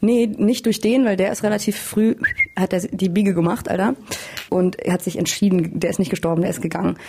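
A woman's voice: mid-range voice, steady tone, fairly quick, creaky, noisy background. A young woman from Hamburg, a singer and guest, talking candidly in a casual interview and laughing at herself. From Hamburg, Germany.

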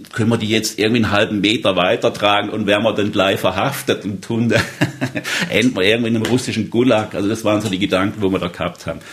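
A man in his fifties from southern Germany speaks in a low voice. He tells his memories conversationally, as someone being interviewed. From southern Baden, Germany. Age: 50s. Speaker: interviewee recalling rail travels. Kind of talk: conversational storytelling.

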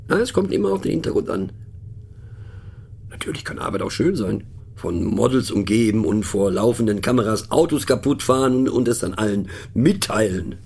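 A man with a low, gravelly, husky voice delivers a satirical radio monologue. He muses wryly and conversationally, pausing and stressing words as he goes, with light music underneath. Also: very animated, a little mellow. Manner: wry, pensive, mocking, halting emphatic delivery. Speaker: narrator of a satirical radio play. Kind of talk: scripted monologue performed as musing conversational talk.

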